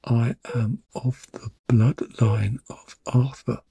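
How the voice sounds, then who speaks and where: Man acting a slow, solemn wealthy duke. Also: medium pitch, flat delivery, creaky, mellow, slightly rough, no echo, exaggerated voice. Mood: grave, earnest. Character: Mister Cavendish, stately wealthy duke, solemn male client. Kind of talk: audio drama dialogue.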